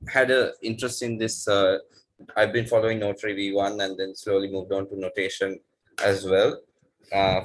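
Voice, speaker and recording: Low-voiced man from Sri Lanka. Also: poor audio. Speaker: software developer. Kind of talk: self-introduction on a call. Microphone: computer mic on video call.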